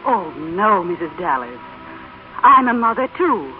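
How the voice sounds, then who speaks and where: An actress playing a composed, sympathetic upper-class lady in a vintage radio drama, speaking politely and gently in measured phrases. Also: mid-range voice, very animated, faint music behind. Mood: sympathetic, sincere. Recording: tinny, noisy old radio recording.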